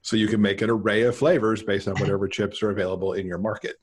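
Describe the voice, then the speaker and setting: A man's voice: lowish voice, steady tone, fast, noisy background. A middle-aged man, a guest novelist, chatting casually about his writing on a video call from home. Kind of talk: casual chat about own book.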